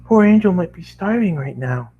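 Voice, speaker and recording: A man voicing a gentle, worried female cartoon pony in a storybook reading. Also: sits high, expressive, moderate pace, phone-quality sound, pitched above the performer's own voice. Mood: worried, relieved.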